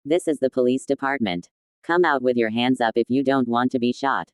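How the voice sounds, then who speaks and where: A man's voice: medium pitch, fairly quick, smooth, no room sound, close to an everyday voice. A man voicing a stern police officer giving blunt orders to surrender. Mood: commanding.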